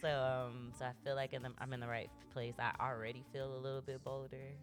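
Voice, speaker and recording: A woman's voice: a bass voice, little pitch movement, brisk, music in the background. A woman chatting casually and reflectively, hesitating as she gathers her thoughts. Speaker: podcast co-host. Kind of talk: casual chat, personal reflection.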